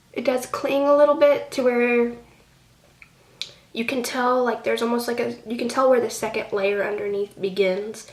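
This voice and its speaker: A woman's voice: on the high side, recorded from a distance. A young woman giving a casual, rambling product review with lots of fillers, sounding a bit nasal from a cold. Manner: chatty, rambling with many fillers. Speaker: beauty vlogger. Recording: echoey room, off-mic, faint hiss.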